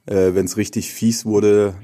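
A man co-hosting a podcast in casual, halting chat over a remote connection. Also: lowish voice, little pitch movement, background noise. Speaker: podcast co-host. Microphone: remote connection, not in studio.

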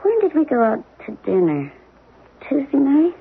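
An actress playing a worried, down-to-earth middle-aged American wife in a radio drama, coaxing and skeptical in a plain-spoken way. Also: female voice, sits high, huge pitch swings, normal speed, creaky, heavily acted. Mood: concerned, probing. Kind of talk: 1970s radio drama acting. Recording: tinny, hissy old radio broadcast.

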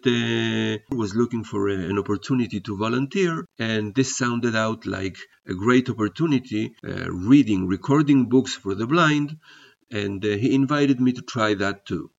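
A man whose first language is not English, hosting a podcast and narrating stories in a reflective, measured way, with hesitant fillers when he speaks off-script. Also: medium pitch, vocal fry. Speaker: podcast host. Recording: muffled lo-fi recording.

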